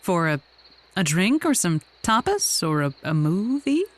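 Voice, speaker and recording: Lively, folksy radio agony aunt. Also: female voice, fairly low pitch, unhurried. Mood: coaxing. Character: folksy, scheming woman hosting a lonely hearts radio show. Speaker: radio call-in show host. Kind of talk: comedic audio drama acting as a folksy radio agony aunt.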